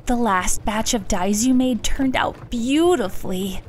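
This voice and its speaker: A woman's voice: on the high side, unhurried, slight background noise, natural voice. A woman playing a friendly, concerned village healer in a fantasy audio drama. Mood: encouraging.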